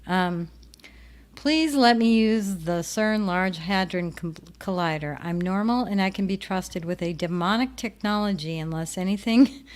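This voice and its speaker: A woman with a Minnesotan accent hosting a show, talking casually to her viewers in a rambling way that trails off. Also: medium pitch. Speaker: show host.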